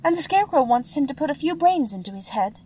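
A woman voicing a kind, timid little farm girl in a storybook, with a gentle and earnest manner. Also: high-pitched, sing-song, fast, pitched above the performer's own voice. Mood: friendly, earnest.

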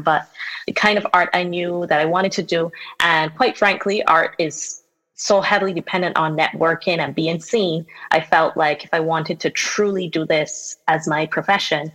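A woman's voice: sits low, fairly bright tone, rough recording. Thoughtful woman from Barbados. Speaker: podcast guest, visual artist. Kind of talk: casual conversation. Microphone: remote recording from home setup.

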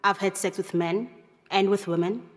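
A woman's voice: mid-range voice, steady tone, normal speed. Woman making plain statements in a reverberant hall. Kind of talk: short spoken statements in an art video. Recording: art video played in a reverberant hall.

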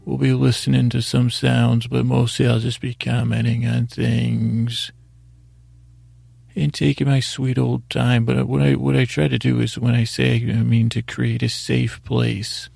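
A man hosting a bedtime podcast with a gravelly, husky voice, rambling and halting in a soothing, unhurried, self-deprecating way. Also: mid-range voice, level tone, a little mellow, dry recording. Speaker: podcast host. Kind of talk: meandering bedtime podcast monologue.

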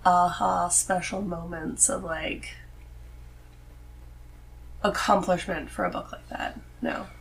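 A woman's voice: on the low side, sing-song, takes it slowly, gravelly, long pauses, slight background noise, low-quality recording. A woman guest on a podcast, chatting casually in loose, informal phrasing. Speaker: podcast guest, host's wife. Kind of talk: casual conversation about reading.

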